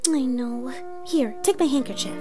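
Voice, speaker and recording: A woman's voice: high-pitched, normal speed, over music, close to an everyday voice. A woman playing an earnest, theatrical narrator of a storybook read-along. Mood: playful, bossy. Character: earnest theatrical storyteller, comic author-narrator persona played female.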